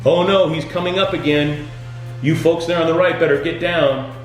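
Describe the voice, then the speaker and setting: Guy doing jokey boat tour skipper spiel, deadpan puns, super echoey, bit of music behind. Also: fairly high pitch, normal speed. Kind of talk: scripted comedic tour-guide patter. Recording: very echoey room, music underneath.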